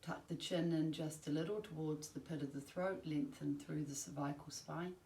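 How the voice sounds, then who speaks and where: A woman teaching yoga nidra, giving calm, soothing, measured guided-relaxation instructions with long pauses. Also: low voice. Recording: roomy, distant mic, faint background noise.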